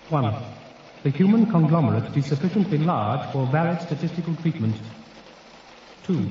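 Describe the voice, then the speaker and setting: An actor playing an impersonal, exact reciter of encyclopedic definitions, with a British accent and declamatory delivery, in a radio drama. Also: male voice, fairly high pitch, warm tone, natural voice. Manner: precise, declamatory. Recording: distant, heavily echoing hall, hissy and dull.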